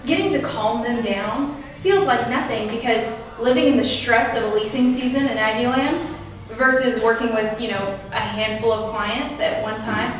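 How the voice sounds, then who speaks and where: Young woman from Texas, upbeat and talkative, slightly high voice, echoey room away from the mic. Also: normal speed, recorded from a distance. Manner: upbeat, talkative, laughs often. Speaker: real estate agent, guest. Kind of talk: casual interview chat.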